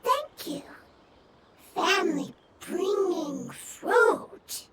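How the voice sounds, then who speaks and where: A woman voicing a small, earnest forest creature that speaks in halting broken phrases in a video game. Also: very high voice, expressive, very noisy, exaggerated voice. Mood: timid, worried.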